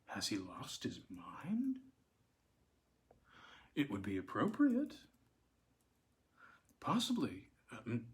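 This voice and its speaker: A man voicing a hushed onlooker who muses wryly about a silent man, half-whispering in a mock-puzzled way. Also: medium pitch. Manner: wry mock-puzzled musing. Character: hushed male onlooker commenting on a quiet man.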